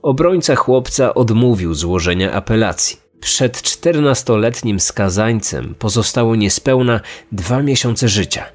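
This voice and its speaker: A man's voice: fairly low pitch, expressive, a little slow, few pauses. A man reading a scripted true-crime narration as a podcast narrator. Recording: music under the voice. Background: background music.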